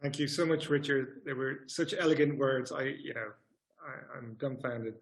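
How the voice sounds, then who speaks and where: A man hosting a webinar, introducing speakers in a polite, halting, hesitant manner. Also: mid-range voice, fairly even intonation, poor audio. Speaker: webinar host.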